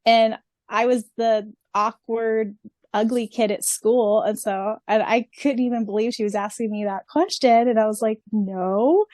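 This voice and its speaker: A woman's voice: a little high, fairly even intonation, a little slow, darkish tone, dry recording, studio quality. A woman chatting casually on a podcast in an informal, storytelling way as a co-host. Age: 30s or older.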